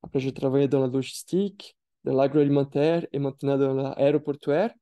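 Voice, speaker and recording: Hesitant man, very dark voice. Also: mid-range voice, fairly even intonation, brisk. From Brazil. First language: Portuguese. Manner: hesitant, many fillers and restarts. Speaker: guest speaker. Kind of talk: career testimony on a webinar.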